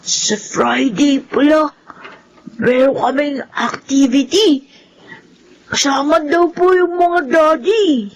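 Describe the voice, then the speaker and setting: Woman acting high, slow-talking Filipino little kid. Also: rough recording. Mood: wistful, childlike. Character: sweet, wistful young schoolchild talking to mother. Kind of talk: Filipino radio drama acting.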